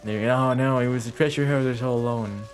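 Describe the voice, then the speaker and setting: A man's voice: mid-range voice, fairly even intonation, a little fast. A young man doing casual live gameplay commentary, slangy and full of swearing. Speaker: game streamer. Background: game music.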